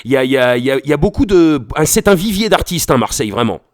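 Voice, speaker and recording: A man's voice: fairly high pitch, big pitch swings, rapid-fire, no room sound. Chummy radio man, close and dry. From Paris region, France. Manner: chummy, slangy, talks in short repeated affirmations. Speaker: radio host and interviewer. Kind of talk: casual radio interview chat.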